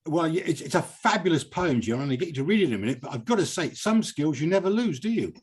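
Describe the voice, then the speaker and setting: A man's voice: fairly high pitch, sing-song, quick pace, husky. A British man hosting a poetry reading in an effusive, digressive, conversational way. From United Kingdom. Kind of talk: live event hosting, conversational interviewing.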